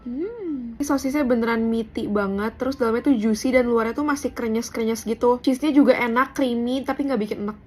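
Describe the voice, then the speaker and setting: A woman's voice: on the high side, brisk, hardly any pauses, darkish tone, a little hiss or hum. A woman with an Indonesian accent giving a casual mukbang review as a food vlogger, slangy and dropping in English words.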